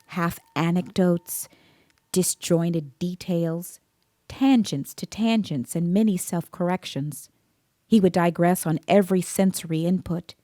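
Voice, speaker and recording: Low-voiced actress as a measured female investigator, narrating. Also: mellow, no room sound, studio quality, close to an everyday voice. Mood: anxious, grave. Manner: measured, literary storytelling. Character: tense, caring female police investigator narrating a grim case. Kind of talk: first-person scripted audio drama narration.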